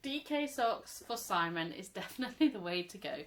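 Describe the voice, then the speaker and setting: A woman in her thirties, chatting casually at home about her crochet, a little croaky from a cold, rambling and laughing often. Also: medium pitch, expressive, fairly quick, a little hiss or hum. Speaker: crochet vlogger, mother. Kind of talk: casual show-and-tell chat.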